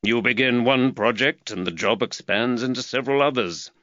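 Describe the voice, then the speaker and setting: A man voicing a polite, measured detective with a dry wit, close to his own voice. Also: medium pitch, fairly bright tone, dry recording, muffled recording, voice pushed up, close to an everyday voice. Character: calm, dry-witted young male detective.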